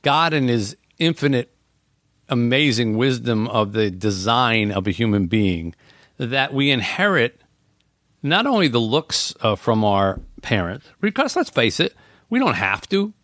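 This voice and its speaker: Middle-aged man, earnest and reflective, mid-pitched voice, dry close sound. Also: sing-song, measured pace, a bit bright, no room sound. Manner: earnest, thoughtful, frequent "you know" fillers. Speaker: podcast guest. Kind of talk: reflective casual conversation.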